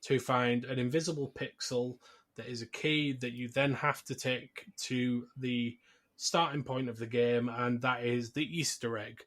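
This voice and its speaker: A man co-hosting a podcast, talking through a film in a casual, conversational recap with quick acted asides. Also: mid-range voice, fairly even intonation, fairly bright tone. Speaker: podcast co-host.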